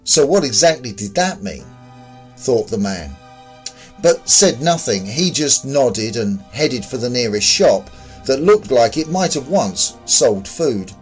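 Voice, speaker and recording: A man narrates a piece of fiction in a scripted storytelling style. His voice sits at a middle pitch for a man, with faint music underneath. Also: big pitch swings, slow pace.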